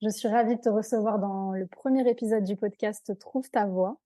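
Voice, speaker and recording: A woman's voice: medium pitch, warm tone, dry recording. A woman hosting a podcast interview, encouraging and a little hesitant as she asks her questions. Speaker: podcast host.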